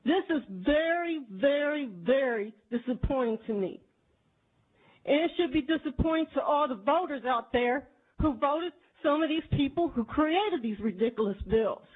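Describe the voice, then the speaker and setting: A woman in her forties with a Houston accent speaks in a high, insistent voice. She testifies at a committee hearing with indignant, repetitive emphasis. The sound is narrow and tinny, as though it came over a phone line. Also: animated, normal speed. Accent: Texan. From Houston, Texas. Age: 40s. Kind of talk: public testimony. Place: legislative committee hearing room. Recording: tinny, phone-like archive audio.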